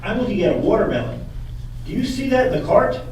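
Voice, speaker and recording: A man's voice: high voice, very animated, quick pace, a little mellow. A man playing a persistent lawyer in a puppet courtroom re-enactment, asking methodical leading questions in an echoey room. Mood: methodical, pressing. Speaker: attorney. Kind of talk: courtroom questioning read from a transcript. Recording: echoey room from far off, faint noise.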